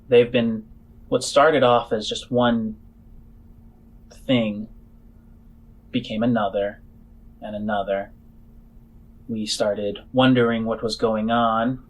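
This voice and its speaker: A man voicing a shrewd, skeptical female boss in a tabletop game, explaining things in a measured, halting way. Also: medium pitch, poor audio.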